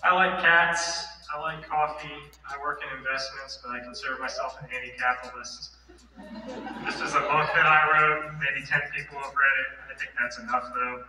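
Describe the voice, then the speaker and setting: A man introducing himself on a theatre stage with dry, deadpan humor, recorded far off in an echoey hall. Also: medium pitch, moderate pace, noisy background.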